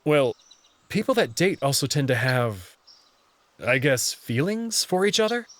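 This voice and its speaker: A man's voice: medium pitch, big pitch swings, measured pace, fairly bright tone. A man playing a bewildered young human who narrates his own story in a visual novel and speaks hesitantly. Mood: stunned, hesitant. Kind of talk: first-person visual novel narration and dialogue.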